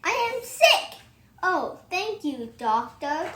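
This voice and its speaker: A young-sounding female reader giving an expressive, theatrical read-aloud of a children's picture book. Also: extremely high pitch, takes it slowly, echoey room, heavy background noise.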